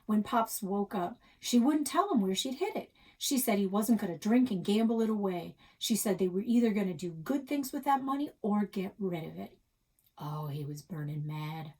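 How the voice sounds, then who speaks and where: Husky woman reading a story aloud. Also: medium pitch, lively intonation, normal speed, darkish tone, rough recording. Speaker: librarian reading a children's novel aloud. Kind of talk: expressive read-aloud storytelling.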